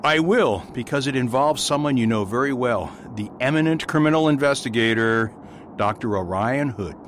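Brief: male actor as a gentle priest, expressive pitch. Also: slight background noise, close to an everyday voice. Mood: narrating. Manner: gentle, reassuring, measured. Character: kindly, gentle middle-aged male priest. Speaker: Father Brown. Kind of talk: amateur radio drama.

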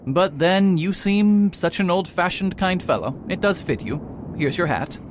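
A man as a pompous retired detective, lightly high-voiced. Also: expressive, a little slow, a little hiss or hum. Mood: dry, reluctant. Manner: hesitant, pompous, formal. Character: proud, fussy, retired eminent male detective doctor. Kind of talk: audio drama acting.

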